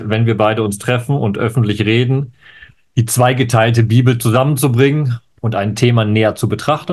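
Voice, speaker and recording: A man co-hosting a live scholarly discussion, eager, jumping in and correcting his partner. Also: mid-range voice. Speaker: co-host, Old Testament scholar.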